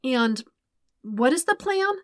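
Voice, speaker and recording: A woman voicing a cheerful, excitable twelve-year-old airbender boy in an audiobook story. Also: high-pitched, slow, dry recording, studio quality, voice pushed up, full character voice. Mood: doubtful. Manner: excitable, eager, questioning.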